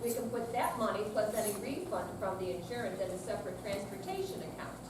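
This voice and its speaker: A woman's voice: medium pitch, very animated, fast, natural voice. A woman playing a worried, firm grown daughter of elderly parents in a staged reading. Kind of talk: staged readers theater reading. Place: senior center stage reading, far from mic. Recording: echoey noisy hall, distant mic.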